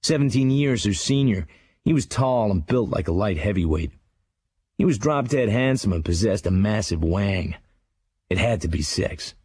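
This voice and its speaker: An actor playing a hard-edged crime writer narrating his dark memoir in a sardonic, clipped manner for a radio drama. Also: on the low side, expressive, normal speed, slightly rough, no room sound, clean studio sound. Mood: sardonic, cool.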